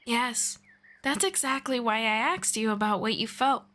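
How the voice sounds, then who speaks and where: A woman voicing a gentle, patient female elf mentor in an ASMR roleplay, speaking softly and slowly and slipping into whispers. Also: a little high, a little hiss or hum. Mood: gentle, soothing. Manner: soft-spoken, slow and patient, drifting into whispers. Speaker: voice actor.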